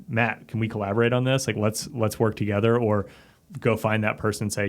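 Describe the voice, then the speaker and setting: A man's voice: on the low side, gravelly. A man in a casual interview, talking informally and reflectively as a podcast guest. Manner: informal, reflective, peppers talk with "like" and "kinda". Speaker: podcast guest, company CEO and former submarine officer.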